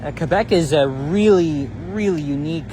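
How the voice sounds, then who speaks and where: A man's voice: fairly high pitch, normal speed. A man chatting casually while walking a city street, rambling and restarting his phrases as he talks. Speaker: walking tour vlogger. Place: busy city street outdoors. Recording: street traffic noise behind.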